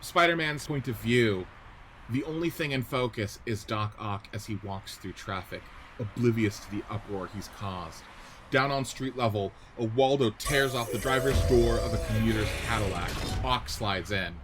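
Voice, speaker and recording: A man's voice: lowish voice, moderate pace, background noise. A man narrating a radio-play script, reading out stage directions and setting each scene descriptively.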